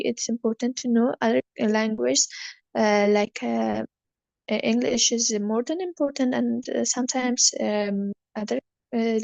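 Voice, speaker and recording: A woman from Afghanistan whose first language is Persian, answering questions in an online English class, halting often with fillers. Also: a little high, creaky, darkish tone, no echo, poor audio. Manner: hesitant, many fillers, halting learner English. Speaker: student. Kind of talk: online class speaking practice.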